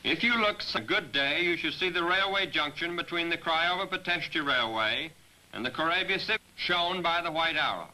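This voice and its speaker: A man narrating a scripted military training film as a briefing, in a measured, directive manner, on a 1940s film soundtrack. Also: on the high side, animated, bright, forceful tone, rough recording. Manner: measured, directive, addressing aircrews. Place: voice-over recording for a 1943 film.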